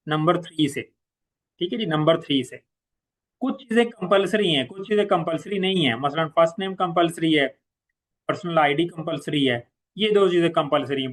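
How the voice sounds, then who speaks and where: Male software trainer, Pakistani accent, slightly high voice. Informal, instructional walkthrough delivery, repeats key points, drops in English terms. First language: Urdu. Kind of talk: informal step-by-step software tutorial.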